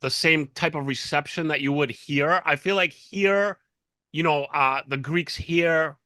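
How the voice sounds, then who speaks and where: A man co-hosting a casual interview, asking friendly questions in halting bursts with "uh" and "like". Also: a little high, sharp tone, dry recording, clean studio sound. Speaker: podcast co-host.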